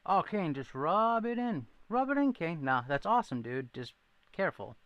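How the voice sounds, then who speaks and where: A man's voice: sits high, very animated, moderate pace, dry recording, highs cut off. A man from New England with a thin Boston accent, rambling and joking at his own expense as he hosts a casual livestream and answers viewers. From New England, near Rhode Island. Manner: rambling, self-deprecating, reacts to chat. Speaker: livestream host.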